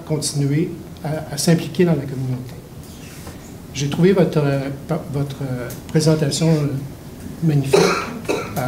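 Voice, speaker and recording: A man speaking at a public meeting, measured and earnest. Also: on the high side, warm tone. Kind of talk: unscripted public comment. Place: meeting hall. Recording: echoey hall, faint background noise.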